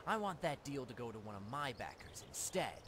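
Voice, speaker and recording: A sly crime fence from a fantasy video game, acted by a man, with a lively, swinging mid-range pitch. He speaks deliberately and conspiratorially, like business is being done on the quiet. There is heavy noisy ambience behind the voice. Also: lightly acted. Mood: scheming, assured. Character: shady male fence and thief boss, sly, businesslike. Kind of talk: video game character dialogue.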